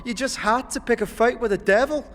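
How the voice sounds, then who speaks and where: A man voicing a feisty young woman rogue in a fantasy audio drama, speaking in a sharp, sarcastic way. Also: very high-pitched, fairly quick, in a large hall, pitched above the performer's own voice. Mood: reproachful, upset. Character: feisty young woman, knife-fighting rogue, prickly and reproachful.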